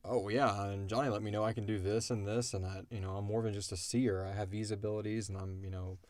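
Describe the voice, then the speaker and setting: A man's voice: fairly low pitch, little pitch movement, fast, darkish tone, no echo. A man talking in a casual, rambling, conversational way with lots of "like", as a show's creator answering listener questions. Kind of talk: casual Q&A discussion.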